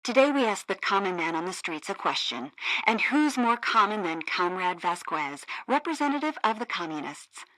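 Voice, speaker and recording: A woman's voice: medium pitch, very animated, moderate pace, fairly bright tone, dry recording, poor audio. An actress playing an earnest, cheeky female radio news presenter in a comedic video game. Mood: newsreader polish.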